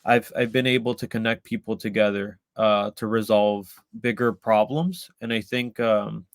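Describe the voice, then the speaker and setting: A man's voice: fairly low pitch, fairly even intonation, moderate pace, no room sound, clean studio sound. A man from El Salvador giving a live online presentation about a community he founded, hesitant with many ums and restarts.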